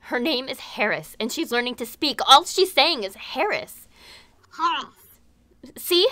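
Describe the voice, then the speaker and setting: A woman's voice: high voice, lively intonation, measured pace, sharp tone, full character voice. A woman playing a distraught, self-conscious teenage monster daughter in a comedic audio drama, with a whiny, exasperated delivery. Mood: shy, proud.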